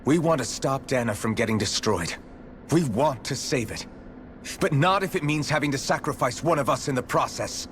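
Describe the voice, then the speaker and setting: A man's voice: medium pitch, lively intonation, normal speed, fairly bright tone, slight background noise, close to an everyday voice. A man playing a stubborn, protective young hero in a video game, speaking bluntly and earnestly. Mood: angry, impassioned. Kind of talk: scripted video game voice acting.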